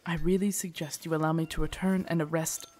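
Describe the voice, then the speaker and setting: A woman playing a loyal, protective female knight in an audio drama, formal, deferential and earnest, with a husky voice. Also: low-pitched, fairly even intonation, a little fast, a little mellow. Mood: indignant, concerned. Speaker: voice actor in audio roleplay. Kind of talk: acted immersive audio drama monologue.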